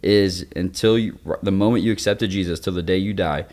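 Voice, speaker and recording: Deep-voiced young man, casual faith talk. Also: fairly even intonation, fairly quick, darkish tone. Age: 20s. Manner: informal, youthful slang, explains with everyday examples. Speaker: young adult ministry podcast speaker. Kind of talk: casual faith discussion with teaching.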